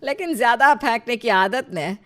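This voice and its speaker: A woman's voice: on the high side, lively intonation, normal speed, natural voice. A woman voicing a blunt, exasperated older mother-in-law who scolds plainly in a comic story.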